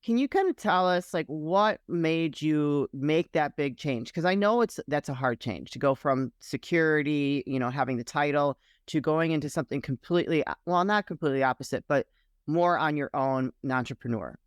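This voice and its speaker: Woman podcast host, chatty and upbeat, crisp voice, dead-dry mic. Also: deep voice, normal speed, no echo. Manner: upbeat, chatty, addresses listeners directly. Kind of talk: casual interview chat.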